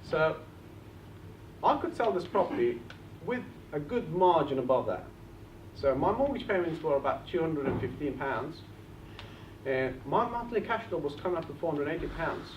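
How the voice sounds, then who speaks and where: A man with a Bulgarian accent presents to a live audience in a slightly high voice, lively and full of direct questions. He is picked up from a distance, so the sound is boomy and reverberant. The recording is a little dull and carries a faint hiss. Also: normal speed. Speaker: seminar presenter. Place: meeting room with an audience. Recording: echoey room, distant mic, muffled, faint hiss.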